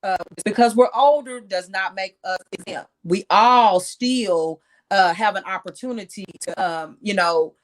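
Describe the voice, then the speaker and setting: A woman's voice: mid-range voice, a little slow, rough recording. A woman hosting an online group discussion, talking frankly and folksily and addressing the listeners as ladies and sis. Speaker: discussion host. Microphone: online call mic.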